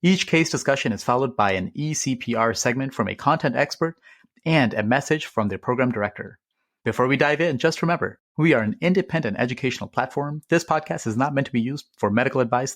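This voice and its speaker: A man hosting a podcast, speaking in an upbeat, enthusiastic way as he introduces the show and guests. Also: mid-range voice. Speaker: podcast host.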